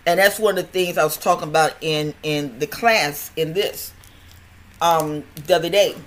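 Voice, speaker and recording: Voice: medium pitch, moderate pace. Older podcast host with a stutter. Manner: stutters, restarts and repeats words; chatty, folksy and rambling. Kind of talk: casual health podcast monologue.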